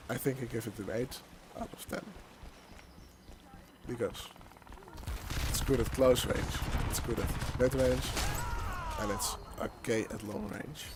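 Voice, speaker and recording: Young Dutch-accented gamer, halting voice-over with restarts, gunfire noise under it. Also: male voice, mid-range voice. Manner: rambling, hesitant, many restarts. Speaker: gaming YouTuber. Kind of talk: gameplay commentary voice-over. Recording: game gunfire and noise behind.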